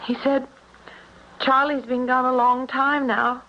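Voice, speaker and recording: A woman's voice: high voice, flat delivery, slow pace. An actress playing an ailing, faithful young small-town woman, wistful and earnest, in a 1970s radio drama. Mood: troubled. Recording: dull, noisy 1970s radio recording.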